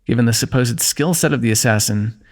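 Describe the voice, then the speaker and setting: A man voicing a kingdom's ruler who gently chides and teases a wounded companion while tending his injury, in an intimate fantasy roleplay. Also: mid-range voice, fairly quick. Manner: gentle, chiding, teasing, close and intimate. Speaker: ASMR roleplay performer. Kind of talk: scripted fantasy ASMR roleplay.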